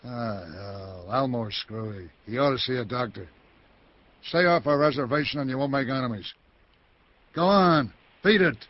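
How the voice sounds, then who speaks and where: An actor playing a bullying American police lieutenant in a hardboiled radio drama, speaking in a curt, menacing manner. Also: male voice, medium pitch, normal speed, dull audio, close to an everyday voice. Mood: gruff, dismissive.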